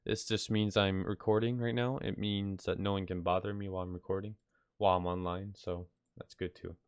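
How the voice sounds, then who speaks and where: Deep-voiced, stuffed-up man giving a casual tutorial. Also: flat delivery, brisk, clear, resonant tone, no room sound. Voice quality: slightly nasal from congestion. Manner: hesitant, rambling, offhand. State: sick with stuffy nose. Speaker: video tutorial host. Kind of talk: casual unscripted software tutorial. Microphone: close mic, screen-recording voice-over.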